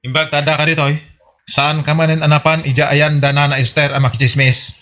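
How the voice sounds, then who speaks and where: An actor with an Ilocano accent playing a teasing, sceptical husband in a radio comedy, mocking and exclamatory. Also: male voice, fairly high pitch, flat delivery, a little fast, a bit bright, muffled recording, lightly acted. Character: teasing, sceptical husband scolding his gossipy wife.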